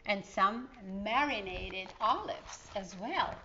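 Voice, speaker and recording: Storyteller, woman, middle-aged. Slow, emphatic children's reading, slightly dull room recording. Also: mid-range voice, expressive, noisy background. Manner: slow, emphatic, explanatory asides to young listeners. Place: indoor living room.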